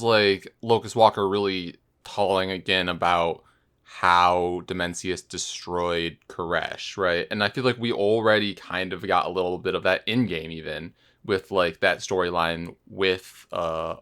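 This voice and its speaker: A man co-hosting a podcast in casual discussion, talking in a halting, questioning, rambling way. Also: low voice, fairly even intonation, fairly bright tone, clear, resonant tone. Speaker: podcast host.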